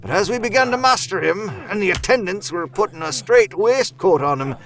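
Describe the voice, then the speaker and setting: An actor playing an earnest Victorian doctor, narrating a formal letter in an audio drama with careful storytelling. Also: male voice, high-pitched, lively intonation, a little fast, fairly bright tone, faint music behind. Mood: urgent.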